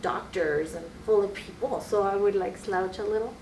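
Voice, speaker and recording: A woman's voice: mid-range voice, normal speed, a little mellow. Chatty woman telling a story in an echoey room. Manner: storytelling, acting out quoted voices, many likes. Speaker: guest nurse injector. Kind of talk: casual podcast chat. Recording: echoey room, mic at a distance, faint noise.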